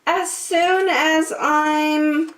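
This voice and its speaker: A woman voicing an excitable, playful little girl in a storybook, exuberant and sing-song. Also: high voice, drawn-out words, pitched above the performer's own voice, exaggerated voice. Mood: pleading. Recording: roomy, echoing sound.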